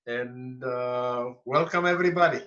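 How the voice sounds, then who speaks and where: A man of eighty, from Bukovina, telling his life story slowly and carefully as a guest on a video call. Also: mid-range voice, fairly bright tone. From Bukovina (Romania/Ukraine). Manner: slow, careful storytelling with pauses. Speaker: Holocaust survivor guest. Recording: dull lo-fi call audio.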